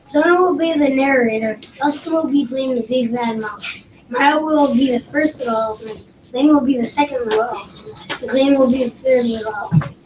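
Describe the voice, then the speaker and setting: Woman presenter for a school play, high-pitched, picked up from far off with faint noise. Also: fairly quick, a little mellow. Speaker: teacher introducing a school play. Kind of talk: presenter announcing a play and its cast. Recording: echoey room, distant mic, faint noise.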